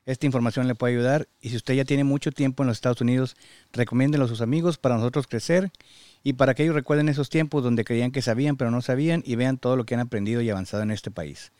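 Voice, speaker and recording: A man's voice: medium pitch, fast talker, darkish tone, no room sound. Earnest male podcast host, dry voice. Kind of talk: podcast intro and interview.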